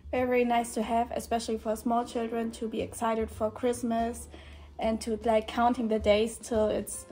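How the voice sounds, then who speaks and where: A young German woman of eighteen, chatting in English with a German accent and leaning on "like" and "yeah". Her voice sits a little high but barely rises or falls, mellow and soft in tone. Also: normal speed, soft background music. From northern Germany. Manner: relaxed, many fillers like "like" and "yeah". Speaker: guest volunteer. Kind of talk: casual chat on camera. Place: indoor room with shelves.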